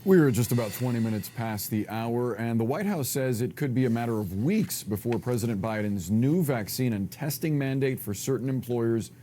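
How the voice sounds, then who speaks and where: A man's voice: mid-range voice, normal speed, few pauses, mellow. An American man presenting broadcast news as an anchor, reading scripted links and interviewing guests.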